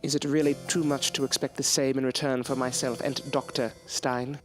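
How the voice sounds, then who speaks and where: A man's voice: mid-range voice, level tone, normal speed, over music, close to an everyday voice. An actor playing a proud, loyal male scientist in an audio drama, speaking in a formal, articulate, theatrical way. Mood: controlled anger. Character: proud, defiant, loyal male scientist, courteous but bitter. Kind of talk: period audio drama acting.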